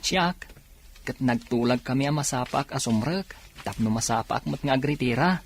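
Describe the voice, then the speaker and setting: A man's voice: medium pitch, moderate pace, a little hiss or hum, natural voice. A man with an Ilocano accent playing an earnest, grateful and hopeful young factory worker in a radio drama. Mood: earnest, encouraging.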